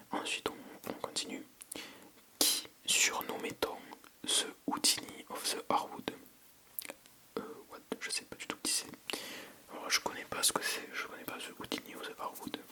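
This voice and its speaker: An ASMR creator with a French accent from France whispers softly and breathily in an informal, hesitant way, thinking aloud while chatting unscripted close to the microphone. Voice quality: soft breathy unvoiced whisper. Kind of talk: ASMR whispering, casual unscripted quiz chat.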